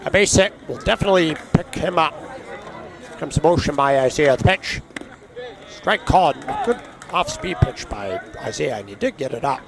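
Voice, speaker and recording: A man calling a baseball game play-by-play, his voice raspy and hoarse from allergies, chatting between pitches. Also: very animated, normal speed, crowd noise. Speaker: play-by-play announcer.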